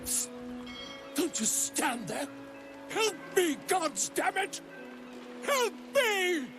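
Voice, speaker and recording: An excitable, cowardly bard-like storyteller in a video game. His voice is very high and swoops about theatrically, self-important, with music underneath. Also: extremely slow, full character voice. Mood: panicked, pleading. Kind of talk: scripted video game acting.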